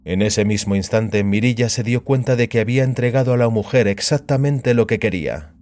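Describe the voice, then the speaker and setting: A man's voice: mid-range voice, a little fast, darkish tone. A man giving a dramatic narration of scripted fiction as an audiobook narrator.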